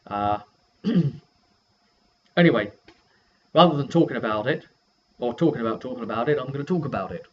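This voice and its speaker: A man giving a casual, rambling recap as a game master and video host, halting and often correcting himself mid-sentence. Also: mid-range voice, expressive. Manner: halting, self-correcting delivery. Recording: dull lo-fi sound with faint noise.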